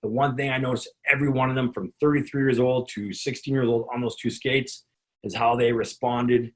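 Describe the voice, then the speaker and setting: A man with a gravelly voice, a hockey conditioning coach giving an instructional talk to other coaches, rambling with repeated words and restarts. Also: medium pitch.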